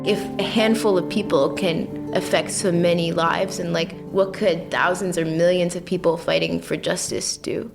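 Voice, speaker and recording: A woman's voice: on the low side, fairly even intonation, moderate pace, vocal fry, few pauses. A woman in her twenties, a climate activist, speaking with passion in an interview. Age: 20s. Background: loud guitar music.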